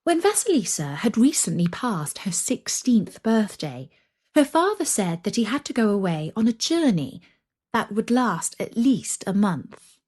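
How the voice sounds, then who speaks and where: A woman narrating a scripted children's story in a dramatic, deliberate way, with breathy delivery and suspenseful pauses. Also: mid-range voice, very animated, a little mellow.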